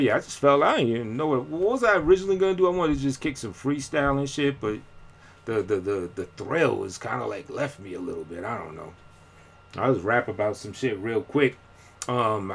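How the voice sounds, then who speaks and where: A man's voice: medium pitch, fairly bright tone. Rambling, slangy male vlogger. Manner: rambling, slangy, frequent fillers and restarts. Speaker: independent rapper and vlogger. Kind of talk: casual live-stream talk.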